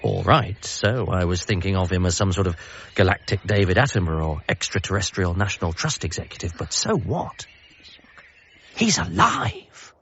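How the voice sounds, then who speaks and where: An actor playing an eager, apologetic grown-up son in a radio comedy drama, in narration and dialogue. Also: male voice, low-pitched, big pitch swings, moderate pace, fairly bright tone, a little hiss or hum, exaggerated voice. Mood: reflective, wondering. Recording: slightly muffled, faint music behind.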